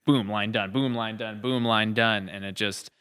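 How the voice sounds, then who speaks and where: A man's voice: on the low side, steady tone, normal speed, fairly bright tone, smooth. A man hosting a podcast in casual, reflective conversation, speaking earnestly and often rephrasing himself as he goes. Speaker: podcast host and audio drama series creator.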